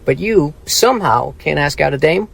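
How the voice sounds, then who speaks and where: A man plays a teenage American sidekick for an audio drama. The voice is high and animated. He is brash and slangy, forever teasing like a cocky kid. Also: moderate pace, gravelly, a little hiss or hum.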